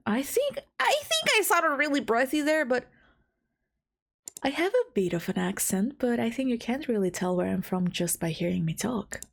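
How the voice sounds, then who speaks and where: A woman's voice: mid-range voice, gravelly, slightly rough. Giggly frazzled young woman, slight Albanian accent. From Balkans, Southeastern Europe. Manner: frazzled, scolds herself, giggly, restarts lines. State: blocked nose. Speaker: VTuber. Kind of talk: casual self-talk between recording takes. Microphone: home recording mic.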